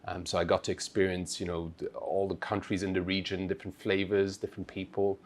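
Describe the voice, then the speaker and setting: A man in his thirties, a company founder, answering interview questions in a relaxed, hesitant way with many fillers and restarts. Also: low voice, fairly quick. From Switzerland-born, raised in Asia. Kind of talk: conversational interview.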